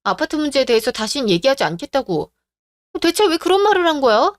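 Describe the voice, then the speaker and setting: A polite young bride speaks gently to her elders but firmly to her fiancé, as a woman puts her on. Her voice is high and close, with no room sound. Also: very animated, a little fast, no room sound. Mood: upset. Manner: apologetic to elders, firm with fiancé. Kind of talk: radio drama acting.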